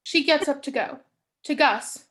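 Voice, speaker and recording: A woman's voice: high-pitched, lively intonation, measured pace, fairly bright tone. A young woman reading stage directions as scripted narration in a staged play reading. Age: young adult.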